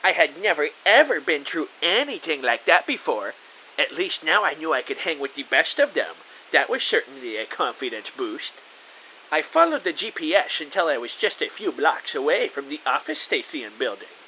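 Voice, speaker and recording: A man playing an earnest, excitable rookie spy who narrates and acts out his own adventure in an audio drama with eager melodrama. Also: on the high side, moderate pace, poor audio. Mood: dramatic, anxious. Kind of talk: first-person audio drama narration with dialogue. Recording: faint background hiss.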